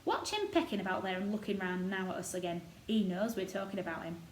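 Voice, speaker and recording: A woman's voice: medium pitch, fast, close to an everyday voice. Storybook role: blunt old Yorkshire gardener, rustic dialect, played by a woman, echoey room. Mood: fond, friendly. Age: older. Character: gruff old Yorkshire male gardener, blunt, fond of robins. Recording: roomy echo, noticeable background noise.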